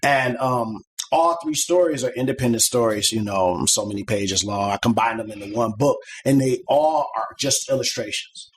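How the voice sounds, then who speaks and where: Middle-aged male podcast guest, average pitch, loose and rambling conversational delivery. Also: normal speed, few pauses, slight background noise, low-quality recording. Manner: rambling and conversational, many "like" and "you know" fillers. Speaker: podcast guest, comic artist. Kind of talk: casual interview chat.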